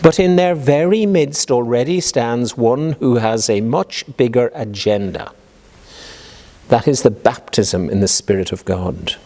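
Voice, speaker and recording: A man's voice: medium pitch, a little mellow. Formal preacher, man in a reverberant hall. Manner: formal, erudite, measured phrasing. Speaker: archbishop giving a reflection. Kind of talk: scripted sermon reflection. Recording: long reverberant hall echo.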